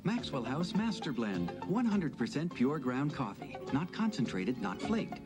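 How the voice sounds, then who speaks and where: A man's voice: medium pitch, big pitch swings, measured pace. A man reading a scripted commercial voice-over as an announcer. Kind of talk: scripted coffee advertisement voice-over. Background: music.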